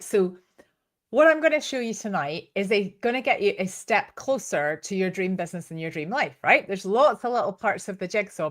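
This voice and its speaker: A woman with a Northern Irish accent giving an informal live training talk as host, chatty and given to storytelling asides. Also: mid-range voice. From Northern Ireland.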